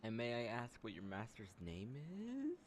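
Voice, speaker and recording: A man's voice: mid-range voice, huge pitch swings, unhurried, a little hiss or hum. A man playing a video game, giving casual, offhand commentary and reading along. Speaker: gamer playing a story quest. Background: game music.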